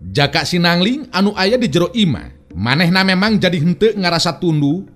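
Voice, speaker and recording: A man with a West Javanese accent, a storyteller giving dramatic narration of a serial folk tale. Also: fairly high pitch.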